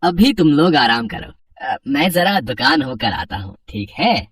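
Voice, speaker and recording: A woman's voice: rumbling low, very animated, measured pace, a little hiss or hum, pitched below the performer's own voice, heavily acted. A woman voicing a simple, trusting male street vendor and family man in a moral story, earnest and plain-spoken.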